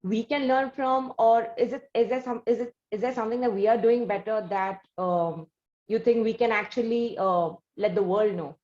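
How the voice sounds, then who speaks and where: A woman with an Indian accent hosting an interview, asking long, halting questions that she keeps rephrasing in a conversational way. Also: a little high, darkish tone. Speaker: interviewer, show host. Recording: roomy echo, slightly dull audio.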